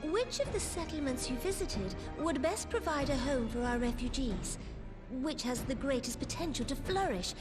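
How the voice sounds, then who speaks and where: High-voiced, poised noble ruler. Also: female voice, animated, moderate pace. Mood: gracious, assured. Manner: formal, courteous, composed. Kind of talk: video game cutscene acting. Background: music.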